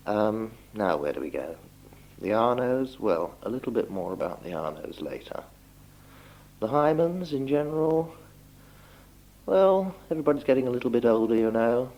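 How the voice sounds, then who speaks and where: A young man speaking a halting, deliberate, politely phrased spoken letter on an old 1960s home tape recording. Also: mid-range voice, sing-song, a little mellow, poor audio. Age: young adult. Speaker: doctor recording a message for relatives. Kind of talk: spoken letter, informal monologue.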